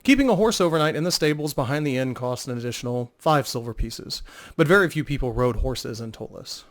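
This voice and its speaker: A man with a mid-pitched voice serves as narrator of a fantasy tale. He reads scripted prose in a dramatic storytelling style. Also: lively intonation, brisk, a bit bright.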